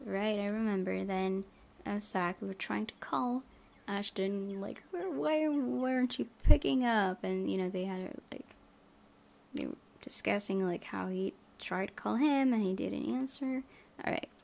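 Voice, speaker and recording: A woman's voice: mid-range voice, gravelly, darkish tone. A woman hosting a gaming video, reading visual novel text aloud with casual asides.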